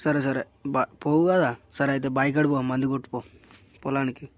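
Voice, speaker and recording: A man's voice: medium pitch, little pitch movement, brisk, close to an everyday voice. A man playing a bossy, foul-mouthed village father in an amateur Telugu cartoon comedy, scolding in a Telangana accent. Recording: clearly noisy track with clicks.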